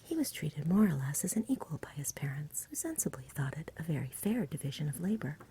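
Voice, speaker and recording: A woman giving a soft-spoken, breathy, whispered ASMR reading of a bedtime story in a gentle storybook manner. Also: low-pitched, sing-song, fairly quick, slight background noise.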